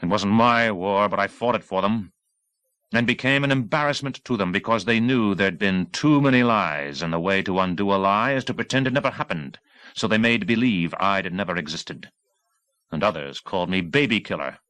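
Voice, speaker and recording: An embittered commando veteran voiced by a male audiobook reader speaks in a low voice. He is brooding and defiant, placing his words deliberately as a man bent on vengeance. The sound is close and dry. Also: lively intonation, fairly bright tone, dry recording, low-quality recording. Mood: bitter. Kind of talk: dramatic audiobook reading.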